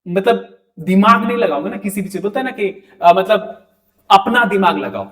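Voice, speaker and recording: A man's voice: high voice, animated, normal speed, a bit bright. A man with an Indian accent giving a French lesson to students. He keeps asking them questions and has them repeat words back to him. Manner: talks to students directly and asks them to repeat after him. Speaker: French teacher. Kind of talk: classroom lecture.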